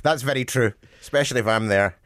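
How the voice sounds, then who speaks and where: A man's voice: mid-range voice, fast talker, no room sound, studio quality. A Scottish man co-hosting a casual chat, bantering and asking questions. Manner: laughs often, warm banter. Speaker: radio co-host.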